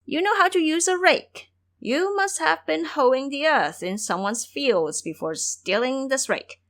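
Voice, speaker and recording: Casting: a woman as a taunting male monster warlord for fantasy audio drama, bossy, with a lively pitch range. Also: a little slow, heavily acted. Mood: mocking. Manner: commanding, taunting. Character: commanding, mocking male monster king with a sword.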